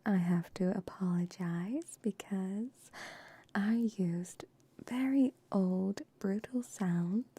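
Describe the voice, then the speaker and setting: A woman's voice: medium pitch, husky. A young woman whispering close to the mic as an ASMR streamer, chatting with her viewers in an affectionate, teasing way. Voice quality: soft whisper, mostly unvoiced. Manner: affectionate, teasing pet-play talk to viewers; slow, unhurried whispering. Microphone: shotgun microphones close to the mouth.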